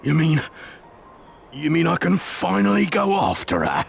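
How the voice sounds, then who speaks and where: Unhinged gravelly henchman, wild swings in pitch, slow gleeful delivery. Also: very animated, slight background noise, exaggerated voice. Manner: manic, swinging wildly in pitch. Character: gleeful unhinged young male henchman, eager for revenge.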